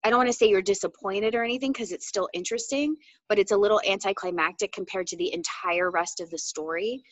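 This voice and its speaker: A woman's voice: medium pitch, fast talker, no room sound. A woman co-hosting a book discussion in a casual, candid, conversational way, often peppering her talk with "like". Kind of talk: casual chat.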